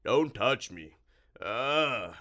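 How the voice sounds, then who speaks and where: A man's voice: mid-range voice, expressive, drawn-out words, pushed and bright, heavily acted. A man voicing a huge, slimy tentacled monster from a storybook, ponderous in manner and easily horrified.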